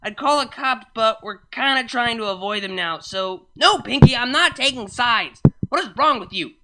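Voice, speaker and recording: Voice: mid-range voice, expressive, moderate pace, very noisy, pitched above the performer's own voice, natural voice. A performer voicing a brash, drunken female party pony who talks in slangy, slurred exclamations. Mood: panicked, exasperated.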